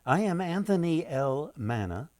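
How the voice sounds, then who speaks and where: An older man, an author reading folktales aloud to children in a deliberate, theatrical manner with dramatic pauses. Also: a little high, big pitch swings, a little mellow, dry recording. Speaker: author and storyteller. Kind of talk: storytelling read-aloud for children.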